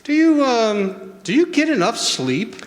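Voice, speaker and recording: A man playing a kindly old man who believes he is Santa, gently needling and unhurried, in a radio drama. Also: very high-pitched, big pitch swings, exaggerated voice. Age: older. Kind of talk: stage radio drama acting. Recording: live hall reverb, faint noise.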